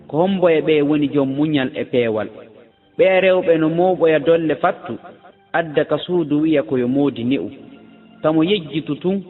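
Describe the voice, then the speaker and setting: A man with a fairly high voice recites religious verse in a chanted style. The recording is dull and lo-fi, with a long hall reverb around the voice.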